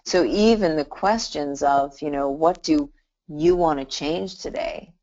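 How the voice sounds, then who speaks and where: A woman's voice: low voice, unhurried, darkish tone, highs cut off. A middle-aged woman teaching hypnotherapy on a live online call, telling stories in a chatty way with many restarts and "you know". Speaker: hypnotherapy instructor.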